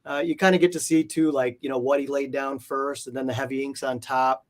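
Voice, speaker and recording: A man's voice: mid-range voice, little pitch movement, brisk. Casual, hesitant man chatting. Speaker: guest, comic art collector. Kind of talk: casual interview chat.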